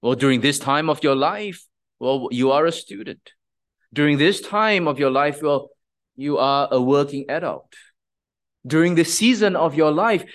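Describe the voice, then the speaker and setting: A male pastor delivers a sermon in a steady, explanatory manner, often pausing to ask the congregation questions. His voice sits a little higher than most men's. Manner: measured, explanatory, with frequent restarts and rhetorical questions.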